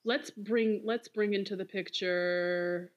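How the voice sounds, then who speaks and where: A woman co-hosting a podcast in casual pop culture chat, animated and emphatic, often repeating phrases for effect. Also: medium pitch, takes it slowly, lo-fi sound. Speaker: podcast co-host.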